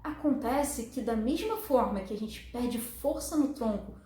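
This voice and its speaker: A woman's voice: fairly high pitch, expressive, unhurried, dark tone, roomy sound. A woman fitness instructor explaining how the spine works with diagrams, in a friendly way full of diminutives. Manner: friendly, uses many diminutives.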